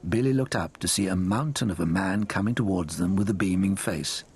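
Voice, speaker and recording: A man's voice: deep voice, a little fast, darkish tone, slightly rough. A man with a British accent narrating a children's story expressively from a script. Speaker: audiobook narrator.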